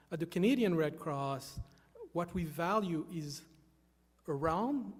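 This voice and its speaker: A man from Haiti, giving an earnest, halting stage talk with many fillers. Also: a little high. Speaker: volunteer speaker. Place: hall with an audience. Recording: long reverb of a large hall.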